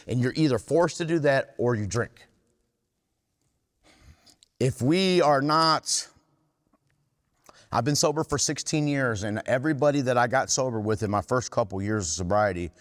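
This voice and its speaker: A man giving a candid, plain-spoken talk to a recovery group, driving home his points by repeating and rephrasing them. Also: mid-range voice. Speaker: workshop speaker at a recovery meeting. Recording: reverberant hall.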